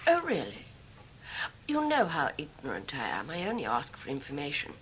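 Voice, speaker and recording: An actress playing a sharp gentlewoman of about thirty with an English accent, insinuating and falsely innocent, in a radio drama. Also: sits low, huge pitch swings, normal speed, slight background noise, exaggerated voice, poor audio. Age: 30s. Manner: falsely innocent, probing, archly emphatic questioning. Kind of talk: 1990s radio drama acting.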